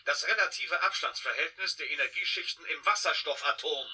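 Radio drama role: brash, teasing male scientist, higher-set and very forceful. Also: brisk, breathy edge, heavily acted. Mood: eager, insistent. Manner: teasing, emphatic, eager. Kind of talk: German radio drama acting. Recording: 1980 radio drama, radio-like sound.

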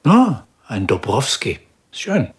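An actor playing a polite, self-deprecating private detective in a radio drama, laconic and dry, with a husky voice. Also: male voice, mid-range voice, wildly swooping pitch, takes it slowly, exaggerated voice. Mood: dry, appraising.

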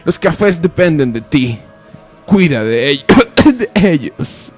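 A man plays an old father on his deathbed in a radio soap. The voice is fairly high for a man and slow, weak and broken by coughing. He is solemn and halting. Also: expressive, faint music behind. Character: dying old father, head of a family business. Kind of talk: radio soap opera acting.

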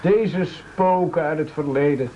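An actor playing a servile, melodramatic castaway sidekick, eagerly agreeing, in a dull, noisy radio play recording. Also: male voice, a little high, a little slow, mellow, close to an everyday voice. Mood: fawning, mock sorrowful.

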